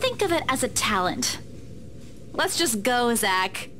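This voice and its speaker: A woman's voice: fairly high pitch, sing-song, takes it slowly, background noise. A woman playing a kind, playful young woman in a visual novel game. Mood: playful teasing.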